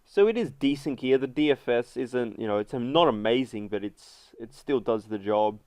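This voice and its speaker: A congested man with a runny nose, chatting through a casual game guide voice-over and filling pauses with ums and uhs. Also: medium pitch, expressive, darkish tone, no echo. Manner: chatty, many fillers. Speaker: gaming video host.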